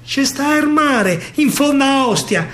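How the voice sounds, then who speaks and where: A man's voice: extremely high pitch, lively intonation, measured pace, fairly bright tone, voice pushed up, heavily acted. A man with a Roman accent voicing a dazed, wistful young soldier in a stage monologue. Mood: dreamy, wistful. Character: dazed, dreamy young soldier longing for the seaside.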